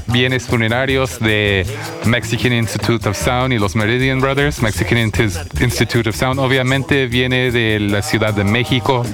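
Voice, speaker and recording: Man with an American accent in Spanish. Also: medium pitch. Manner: halting, searching for words. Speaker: radio music show host. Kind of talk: casual radio chat.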